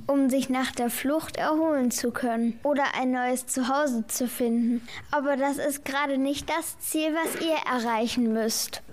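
A female performer playing a patient guardian angel in a children's audio play, explaining things in a measured way. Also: high voice, a little hiss or hum. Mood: encouraging.

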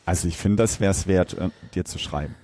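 A man bantering casually as a podcast co-host, informal and quick to jump in with questions. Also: sits low, darkish tone, no echo.

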